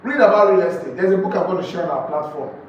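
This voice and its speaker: High-pitched Nigerian man lecturing, echoey room. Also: fast talker, highs cut off. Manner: emphatic, repeats key phrases, throws out rhetorical questions. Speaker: real estate sales trainer. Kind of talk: live training lecture to a group. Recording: echoey room, distant mic, faint noise.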